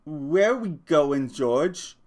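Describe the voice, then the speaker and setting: Male reader doing a gentle simple-minded big man; halting stammer, higher than his own voice, slow. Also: lively intonation. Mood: eager, childlike. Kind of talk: audiobook character voice.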